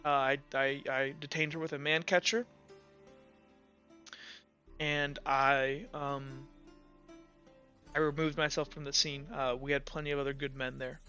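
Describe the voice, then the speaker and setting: A man playing a shaken guard commander who gives reluctant, halting testimony in a tabletop game. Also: mid-range voice, level tone, pushed and bright, muffled recording, soft background music. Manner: halting, hesitant, stammering.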